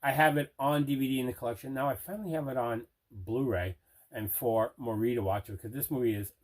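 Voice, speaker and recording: An enthusiastic man with a mid-pitched voice presents items from his collection. He talks conversationally, in the casual manner of an unboxing video. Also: fast, darkish tone. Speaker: movie collector, video host.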